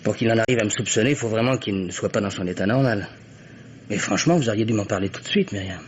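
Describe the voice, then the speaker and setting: An actor playing a concerned, loyal friend in an old French radio mystery, reasoning politely with hesitant interjections. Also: male voice, on the low side, fast talker, natural voice. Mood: earnest. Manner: formal address, hesitant, interjects often. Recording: dull, noisy vintage radio recording.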